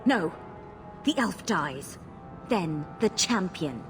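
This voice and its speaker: A woman playing a vengeful, domineering female mage in a video game, speaking with cold, deliberate scorn. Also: fairly low pitch, very animated, music in the background. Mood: cold menace. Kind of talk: dramatic video game acting.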